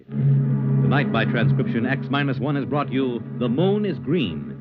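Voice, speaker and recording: An American man announces in formal mid-century network radio style. His medium-pitched voice moves in broad, animated sweeps over clear music, on a muffled old recording.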